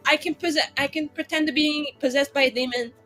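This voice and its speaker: A woman's voice: sits high, fairly even intonation, a little fast, fairly bright tone, faint music behind. A woman playing a tabletop roleplaying game, chatting casually and tentatively with plenty of "like" in her speech. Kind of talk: casual chat.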